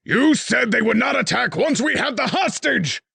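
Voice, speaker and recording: A man voicing a furious male king who rants and yells at his spymaster. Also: very high voice, sing-song, normal speed, vocal fry, breathy edge, no room sound, voice pushed up, exaggerated voice. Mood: enraged. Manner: ranting, yelling.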